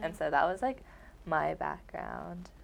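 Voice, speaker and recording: A woman's voice: low-pitched, lively intonation, a little slow, gravelly. A young woman from Oregon talking casually about her family, rambling with lots of filler words. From Oregon, United States. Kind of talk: casual conversation.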